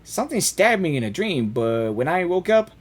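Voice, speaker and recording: A woman game streamer giving casual, excitable live reactions and reading dialogue aloud, often repeating herself. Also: lowish voice, normal speed, slight background noise.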